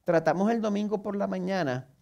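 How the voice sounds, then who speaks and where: Puerto Rican pastor preaching earnestly. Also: male voice, sits high, brisk, vocal fry, darkish tone. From Puerto Rico. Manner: earnest preaching with rhetorical questions to the listener; self-mocking humor. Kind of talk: sermon.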